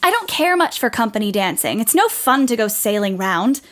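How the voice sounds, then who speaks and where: A woman acts out a boisterous tomboy in her teens for an audio play. The voice is high and swoops freely, blunt and theatrical in delivery. There is clear background noise on the recording. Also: very animated, moderate pace. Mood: breezy. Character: Jo, boisterous tomboyish teenage girl. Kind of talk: audio drama acting.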